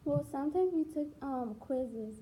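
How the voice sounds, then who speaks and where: A woman's voice: high voice, expressive, a little slow, dark tone, slight background noise, low-quality recording. Hesitant girl. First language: Spanish. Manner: hesitant, searching for words. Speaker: student interviewee. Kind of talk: school interview.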